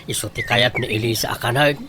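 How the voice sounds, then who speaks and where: A man's voice: mid-range voice, wildly swooping pitch, a little fast, pushed and bright, a little hiss or hum. An actor playing an apologetic, pleading husband and father in an Ilocano comedy radio drama.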